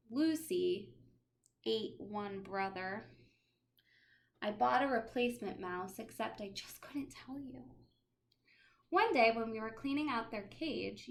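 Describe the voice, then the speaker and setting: A woman reading a children's picture book aloud as a teacher, in an expressive style with dramatic emphasis. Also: on the high side, gravelly. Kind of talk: animated children's storybook read-aloud.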